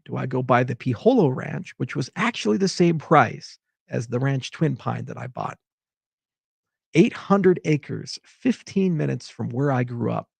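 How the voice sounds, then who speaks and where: A man's voice: mid-range voice, gravelly, a little mellow, breathy edge, no echo. A man from Hawaii delivering an exasperated, rambling unscripted monologue as a tech founder giving commentary.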